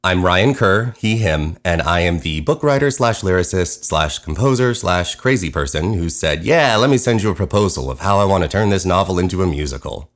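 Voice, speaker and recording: A man hosting his own podcast, talking candidly and quickly in a soothing radio voice. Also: low voice, lively intonation. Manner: fast-talking, conversational, candid. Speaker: podcast host. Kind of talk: personal podcast narration.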